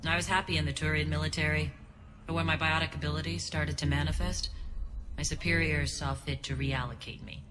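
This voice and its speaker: An actress playing a principled, wary alien ex-soldier who leads a resistance in a video game, earnest and measured. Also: female voice, low-pitched, steady tone, natural voice. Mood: reflective. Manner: earnest, measured, dryly pointed. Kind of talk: dramatic video game acting. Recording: long reverb, noisy background.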